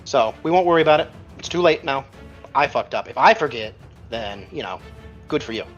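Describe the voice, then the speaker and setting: A man's voice: medium pitch, lively intonation, brisk, fairly bright tone, muffled recording. A man casually narrating and refereeing a tabletop roleplaying game as game master. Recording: music playing behind, limited band. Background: background music.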